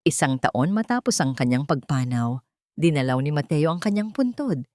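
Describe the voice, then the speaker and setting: A woman narrating a scripted dramatic story in a solemn, sentimental manner with deliberate phrasing. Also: deep voice, big pitch swings, a little mellow, dry recording. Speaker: storyteller, host of an audio story channel.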